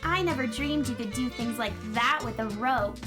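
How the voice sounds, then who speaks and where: A woman's voice: a little high, normal speed, music in the background, lightly acted. A woman playing an eager, earnest, easily discouraged little space cowgirl in a children's radio drama. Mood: amazed. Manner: wide-eyed, earnest, exclaiming.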